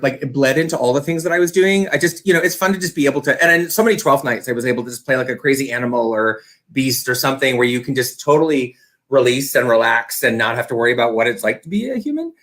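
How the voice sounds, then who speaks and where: A man's voice: mid-range voice, fairly bright tone. A man speaking as an interview guest, a community theater director, chatting casually and explaining things with plenty of filler words.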